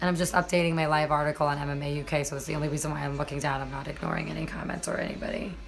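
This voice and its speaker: A slightly hoarse woman hosting a casual live stream about fights, chatting to viewers with lots of fillers. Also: deep voice, fairly even intonation, very fast. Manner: chatty, many fillers, addresses viewers directly. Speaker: MMA journalist and live-stream host.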